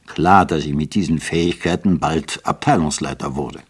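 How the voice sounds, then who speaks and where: A man's voice: rumbling low, moderate pace, breathy edge, pitched below the performer's own voice. A man reading out the words of a practical, self-assured American man, in a plain-spoken, confident way.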